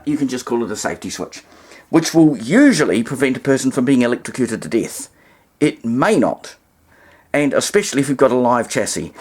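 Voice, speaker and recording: A man presenting a casual hands-on demonstration, explaining things in a rambling way with asides and alternative terms. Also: mid-range voice. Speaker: presenter demonstrating vintage radio testing.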